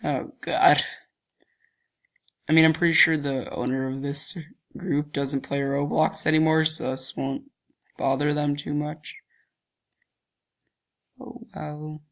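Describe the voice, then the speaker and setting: A man's voice: on the high side, level tone, slow, pauses often, fairly bright tone. A young man with a cold and a stuffy voice, giggling mischievously as he talks through a screen-recorded game and thinks aloud while clicking around. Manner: giggly, mischievous, often breaks into laughter. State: has a cold, stuffy deeper voice. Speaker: gaming channel host. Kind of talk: casual screen-recording commentary.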